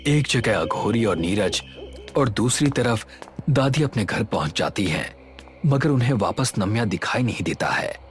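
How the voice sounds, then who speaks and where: A man narrating a scripted horror story in a measured, dramatic way. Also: lowish voice, animated, soft background music.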